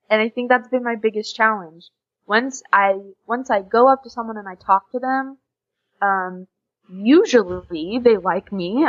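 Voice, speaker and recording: A woman's voice: mid-range voice, a bit bright. Chatty young American woman, slightly dull recording. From USA. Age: young adult. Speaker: podcast guest, exchange student. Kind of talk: casual interview chat.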